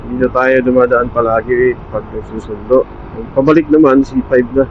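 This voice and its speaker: Male Filipino motovlogger, mid-pitched, casual and offhand thinking aloud, slightly lo-fi helmet mic sound. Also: fairly even intonation, fairly quick. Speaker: motorcycle vlogger. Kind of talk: casual vlog chat while riding. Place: outdoors on a motorcycle at night, in traffic. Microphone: helmet intercom mic under the visor. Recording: dull intercom mic with road noise. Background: faint vehicle and road noise.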